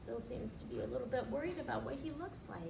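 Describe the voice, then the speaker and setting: Expressive woman storyteller in an echoey room. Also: fairly high pitch, quick pace, vocal fry. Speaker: storyteller reading aloud to children. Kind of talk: expressive rhyming picture-book reading with asides. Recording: roomy, distant, noisy recording.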